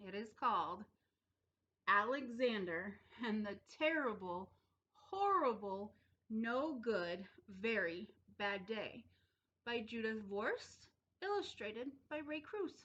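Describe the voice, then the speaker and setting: High, animated woman reading a storybook. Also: slow, highs cut off. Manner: dramatic emphasis on key words. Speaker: storybook reader. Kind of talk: expressive children's read-aloud.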